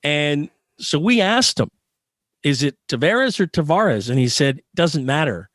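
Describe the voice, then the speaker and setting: A man's voice: a little high, animated, unhurried, a bit bright, dry recording, studio quality. A Canadian man answering as a guest in a conversational interview, earnest and emphatic, repeating phrases for stress. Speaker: sports arena PA announcer being interviewed.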